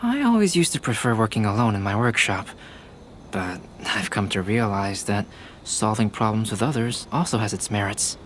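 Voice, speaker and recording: A man voicing a composed young male alchemist painter in a video game, speaking in a measured, reasoned way. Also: fairly low pitch, animated, a bit bright, slight background noise.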